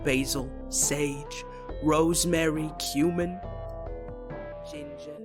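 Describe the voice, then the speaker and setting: A man's voice: a little high, fairly even intonation, takes it slowly, over music. A man voicing a sardonic, menacing but laid-back male skeleton with a dry drawl in a comic dub. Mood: deadpan teasing. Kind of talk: comic dub cartoon acting.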